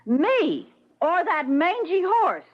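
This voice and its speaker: An actress playing a domineering, scornful wife in an old-time radio comedy drama, sharp, sarcastic and bossy. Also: female voice, very high-pitched, very animated, slow, full character voice. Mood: exasperated, bossy. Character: domineering, scornful, nagging wife holding the purse strings. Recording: dull lo-fi old radio recording.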